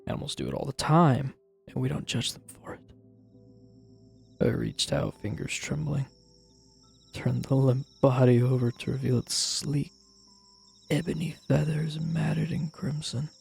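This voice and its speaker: Gravelly, brooding man acting as a troubled confessor. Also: medium pitch, steady tone, darkish tone, heavily acted. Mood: dark, intense. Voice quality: gravelly, creaky, husky. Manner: brooding, deliberate, dramatic storytelling. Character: troubled man confessing a grim childhood memory, guilty and bitter. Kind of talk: first-person dramatized horror story narration. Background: music.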